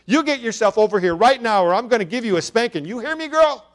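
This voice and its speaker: A man's voice: a little high, expressive, normal speed, a little mellow, close to an everyday voice. A man voicing a poor, worn-out young mother who speaks with rural grammar and a strained voice. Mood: angry scolding.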